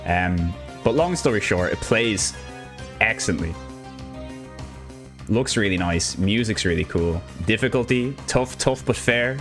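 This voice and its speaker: A man's voice: fairly low pitch, expressive, unhurried, fairly bright tone. A young Irish man with an Irish accent, casually chatting through a live gameplay stream in an upbeat, rambling way. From Ireland. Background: game music.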